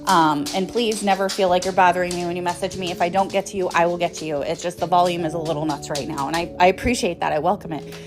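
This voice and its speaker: A woman in her thirties talks with a slightly low voice over faint music. She delivers a casual personal monologue like a motivational speaker among friends. Her manner is candid and rambling, with free swearing. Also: fairly even intonation, fast. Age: 30s. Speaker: host, author and motivational speaker.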